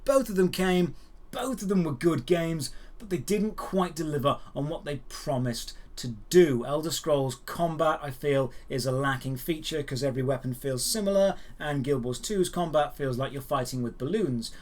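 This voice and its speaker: A man with a fairly high-set voice presents gaming news as a host. He delivers scripted commentary mixed with personal opinion. His phrasing is emphatic and measured, with key words stressed deliberately. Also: darkish tone.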